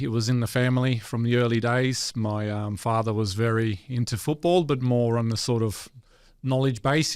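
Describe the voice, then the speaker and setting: A man's voice: medium pitch, darkish tone, clear, resonant tone, dry recording, clean studio sound. An Australian man, a former goalkeeper, talking about his football upbringing in a casual interview, thoughtful with many fillers. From Western Sydney, Australia. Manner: reflective, many fillers and restarts.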